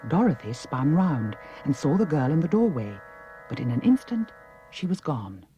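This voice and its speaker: A woman with a British accent reading a children's story as an expressive audiobook narrator. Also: low-pitched, normal speed, faint music behind. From United Kingdom.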